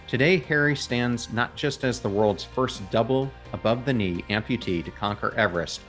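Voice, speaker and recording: Upbeat podcast host, lively low voice. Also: male voice. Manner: upbeat, promotional delivery. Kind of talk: scripted podcast intro and interview.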